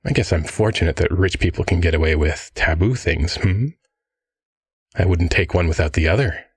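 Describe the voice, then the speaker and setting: A gravelly crime-boss father, played by a man, speaks close and dry. He dotes breezily on his family and threatens just as casually, in the manner of an ASMR audio drama. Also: low-pitched, normal speed, no echo, full character voice. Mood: musing, smug. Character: rich, affable, menacing crime-boss single dad of vampires.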